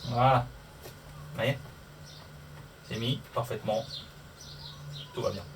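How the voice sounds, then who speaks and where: Man, slow delivery, casual tutorial talk, muttering to himself, hands-on vlogger, clear background noise. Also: mid-range voice, distant mic. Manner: muttering to himself while working through steps. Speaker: motorcycle vlogger.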